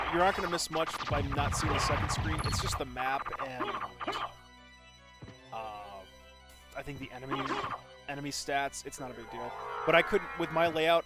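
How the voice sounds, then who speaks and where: A man live streaming a video game, giving casual, informal commentary, thinking aloud and chatting with viewers. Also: on the high side. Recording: loud game music behind the voice. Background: video game music.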